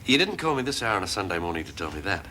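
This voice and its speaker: An actor playing a steady, reassuring man. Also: deep voice, very fast, heavily acted. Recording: hiss on old TV audio.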